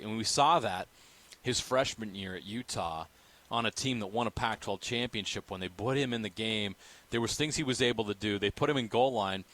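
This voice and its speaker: Bright, forceful man with a low voice. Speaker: sports radio host. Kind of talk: sports analysis talk.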